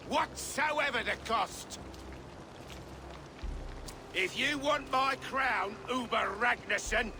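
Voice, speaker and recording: A proud medieval king in a game drama, very high in pitch and slow, declaiming with haughty scorn for pagans. Clear outdoor noise surrounds his voice. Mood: furious, defiant. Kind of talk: dramatic game cutscene acting. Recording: noisy outdoor ambience behind.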